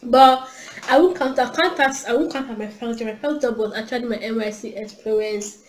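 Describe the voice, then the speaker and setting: A woman's voice: on the high side, fairly quick, echoey room, slight background noise. Giggly Nigerian woman vlogging at home. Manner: chatty, giggly, animated hand gestures. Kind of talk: casual chat to camera. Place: small indoor room at home.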